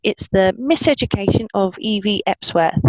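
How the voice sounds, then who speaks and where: A woman's voice: medium pitch, normal speed, a little mellow, no echo. A woman hosting a book interview, on a dull, lo-fi recording. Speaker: interview host. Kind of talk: friendly structured book interview. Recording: dull, dry, lo-fi sound.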